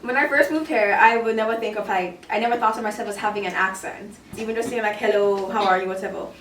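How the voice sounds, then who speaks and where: A woman's voice: mid-range voice, quick pace, fairly bright tone. A twenty-year-old woman from Jamaica with a Jamaican accent mixed with Canadian, chatting casually and animatedly. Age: 20s. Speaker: guest in a group discussion. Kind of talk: casual chat. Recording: roomy, from a distance, faint noise.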